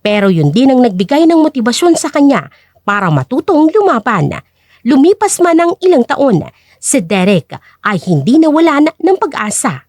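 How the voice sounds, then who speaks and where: A woman's voice: high voice, very animated, moderate pace, darkish tone, no room sound, studio quality. A woman narrating a scripted story dramatically as a storyteller and host.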